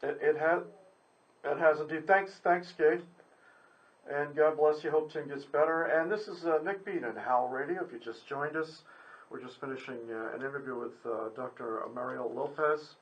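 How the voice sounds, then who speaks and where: A man's voice: a little high, normal speed, lo-fi sound. A man hosting a live radio interview in a casual, chatty, rambling and courteous way. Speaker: radio host. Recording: noisy, with background ambience.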